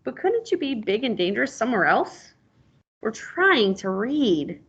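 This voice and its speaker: A woman's voice: a little high, big pitch swings, unhurried, a bit bright, slightly rough, exaggerated voice. A woman voicing a bookish, unafraid, politely dismissive farm pig from a storybook, on a dull lo-fi recording. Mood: unbothered, dismissive. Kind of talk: storybook reading.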